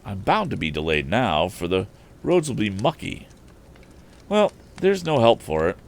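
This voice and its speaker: Man voicing a plucky young inventor, lively pitch swings. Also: a little slow. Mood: resigned. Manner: eager, exclamatory, thinking aloud to himself. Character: earnest, plucky young male inventor in an old adventure tale.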